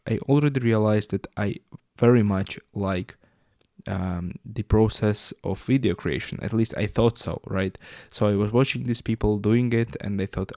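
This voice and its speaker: Young man with a gravelly voice. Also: on the low side, mellow, dry recording, studio quality. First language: Russian. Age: 20s. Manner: rambling, frequent fillers and restarts. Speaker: podcast host. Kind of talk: casual solo podcast monologue.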